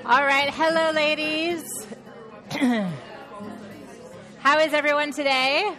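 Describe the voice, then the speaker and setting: A woman's voice: sits high, steady tone, takes it slowly, pushed and bright, soft background music, a faint crowd. A woman in her thirties leading a women's Bible study, sounding nasal from a sinus infection, chatty and laughing as she talks to the group. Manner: chatty and laughing, with many fillers and restarts. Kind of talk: casual talk to a women's group. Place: church meeting room.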